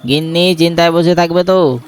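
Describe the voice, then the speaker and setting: A man's voice: a little high, fairly even intonation, brisk, soft background music, pitched above the performer's own voice, natural voice. A man voicing an anxious clerk, an urgent, pleading boat passenger in a storybook ghost tale. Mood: impatient.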